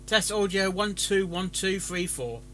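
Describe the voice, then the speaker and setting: A man giving casual live reaction commentary in halting, muttered asides. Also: high voice, animated, a bit bright, a little hiss or hum. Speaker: streamer reacting to a fan fiction reading.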